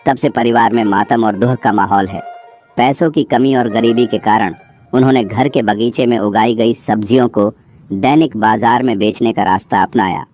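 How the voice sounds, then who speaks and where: A man's voice: mid-range voice, darkish tone. A man reading a scripted horror story as narrator.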